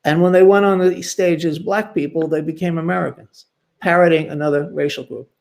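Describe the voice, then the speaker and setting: A man talks as a historian in an informal scholarly discussion. His voice is slightly high, and he moves haltingly, repeating words and stacking short emphatic phrases. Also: steady tone, vocal fry, darkish tone. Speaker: panelist, historian. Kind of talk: informal scholarly panel talk.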